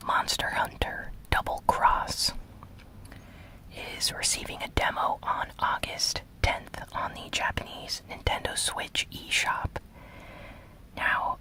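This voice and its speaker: Whispering ASMR gaming news host. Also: low-quality recording. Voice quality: breathy, unvoiced whisper. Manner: soft, unhurried, conversational.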